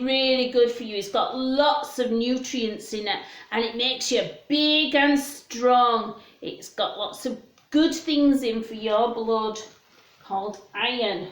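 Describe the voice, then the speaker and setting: Woman, higher-pitched, children's storytime host, gentle sing-song questions, echoey room. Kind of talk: children's storytime presentation, chatty show-and-tell. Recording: roomy, echoing room sound.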